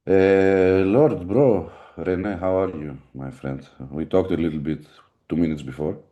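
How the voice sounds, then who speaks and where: A man's voice: low voice, a little slow, mellow, lo-fi sound. A young man with a Greek accent, hosting a casual live podcast chat in a blunt, profane, candid way. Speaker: podcast host.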